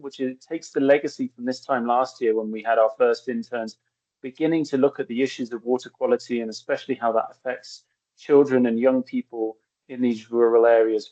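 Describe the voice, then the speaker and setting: A man's voice: medium pitch, a little mellow. A man, the project director, opening a presentation in an upbeat, welcoming way with a few "uh" fillers. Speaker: project founder and director, host. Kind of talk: formal introduction. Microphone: video call. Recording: muffled lo-fi video call audio.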